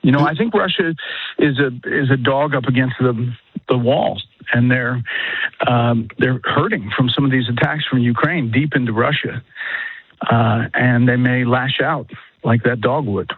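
A middle-aged man, a news anchor, speaking as a guest on a radio interview about politics, halting with many fillers and restarts. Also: mid-range voice, no room sound. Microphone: phone line. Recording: husky voice over a phone line.

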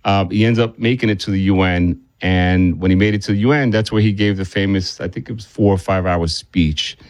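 A man's voice: deep voice, fairly quick, no room sound. A man speaking conversationally as a film director being interviewed, often circling back and repeating himself.

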